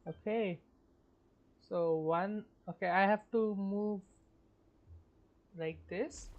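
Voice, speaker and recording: A woman's voice: medium pitch, drawn-out words, dull audio. A woman live streaming a game, chatting casually and murmuring offhand to herself as she reads instructions aloud.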